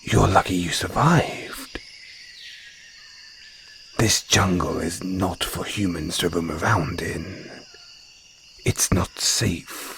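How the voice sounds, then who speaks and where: A man plays a male naga of the jungle, speaking deep and unhurried. He is formal and deliberate, a guarded creature with a tender, flirtatious streak. Insects chirp behind him in this intimate audio roleplay. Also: vocal fry, a bit bright, slight background noise, heavily acted. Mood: concerned, probing. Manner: slow, deliberate, formal phrasing with pauses. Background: crickets and jungle insect sounds.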